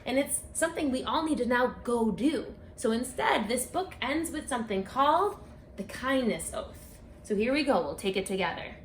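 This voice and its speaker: Expressive higher-voiced woman reading to children. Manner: expressive, deliberate, directly addressing children. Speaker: children's book author and read-aloud presenter. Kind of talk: read-aloud of a rhyming picture book for children, with asides to young listeners. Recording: echoey room with faint background noise.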